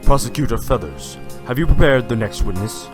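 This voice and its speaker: A man's voice: mid-range voice, expressive, a little fast, music in the background, lightly acted. A man playing a formal, commanding courtroom judge in a fan audio drama. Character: authoritative male courtroom judge, formal, loud.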